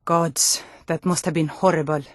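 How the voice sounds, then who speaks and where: A woman playing a tough, suspicious female Nord city guard in a fantasy video game, with a blunt, gruff, challenging and wry manner. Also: deep voice, fairly even intonation, normal speed, dry recording, studio quality. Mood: somber, shaken.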